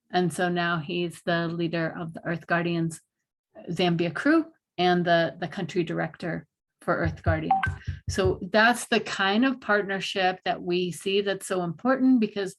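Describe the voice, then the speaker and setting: A woman hosting an online panel, chatty and upbeat, with frequent laughs and filler words. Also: lowish voice. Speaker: host.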